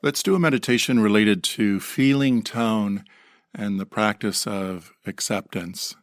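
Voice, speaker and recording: A man leading a guided meditation in a gentle, unhurried manner with pauses, recorded close to the microphone. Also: fairly low pitch, soft background music. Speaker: meditation teacher.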